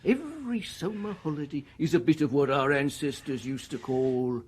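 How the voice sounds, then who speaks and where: An actor playing a bland, clinical official in a radio drama, smoothly matter-of-fact and reassuring. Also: male voice, on the high side, lively intonation, normal speed, darkish tone. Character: brisk, bland official calmly explaining a dystopian world.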